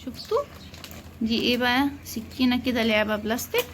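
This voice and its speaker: An Egyptian woman talks quickly in a highish voice, presenting a family vlog. She explains things casually to the viewers and chats a child along. The recording is a homemade one with clear background noise. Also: steady tone. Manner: chatty, explaining, prompting a child. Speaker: mother co-hosting a family unboxing vlog. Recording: clearly noisy home-video recording.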